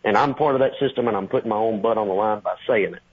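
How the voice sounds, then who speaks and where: A man's voice: lowish voice, fast talker, breathy edge, no echo. Young male caller on a tinny phone line. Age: 20s. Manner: casual, rambling, many fillers. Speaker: caller, high school teacher. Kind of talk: phone-in conversation.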